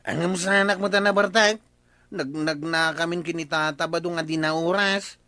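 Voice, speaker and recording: An actor with an Ilocano accent playing a blustering, nosy village tricycle driver who talks loudly and questioningly in a radio comedy. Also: male voice, on the high side, fairly even intonation, normal speed, dry recording. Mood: indignant.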